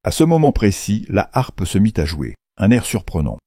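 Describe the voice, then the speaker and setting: A man's voice: lowish voice, wildly swooping pitch, normal speed, dark tone, breathy edge, dry recording, studio quality. A man narrating a children's fairy tale as a playful, theatrical storyteller. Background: music under the voice.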